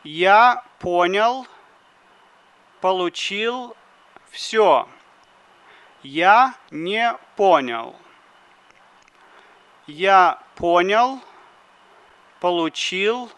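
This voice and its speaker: A man reads drill phrases for a language lesson, pronouncing each word with great care. He speaks very slowly. His voice is fairly high and smooth. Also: sing-song, a bit bright. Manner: deliberate, carefully articulated phrases. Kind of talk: slow phrasebook drill reading.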